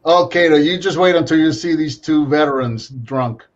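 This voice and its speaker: A man in casual conversation who talks loud and rambles, tripping over his words and starting again. His voice sits a little high for a man. The audio is muffled and lo-fi. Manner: loud, rambling, quick restarts. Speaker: podcast co-host. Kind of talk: casual chat.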